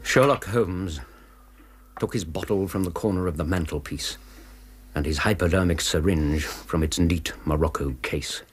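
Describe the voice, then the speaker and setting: A grave English narrator played by a man tells a Victorian story for radio. The voice is deep, measured and deliberate. There is faint noise on the recording. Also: natural voice. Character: grave male literary narrator of a Victorian tale. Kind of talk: scripted dramatic narration.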